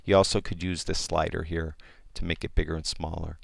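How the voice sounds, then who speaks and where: A man explaining a photo editing program in a casual, rambling tutorial, with many fillers and restarts, and a voice that is getting hoarse. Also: rumbling low, little pitch movement, brisk, dry recording, studio quality. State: losing his voice. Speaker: software tutorial instructor. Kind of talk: casual screen-recorded tutorial.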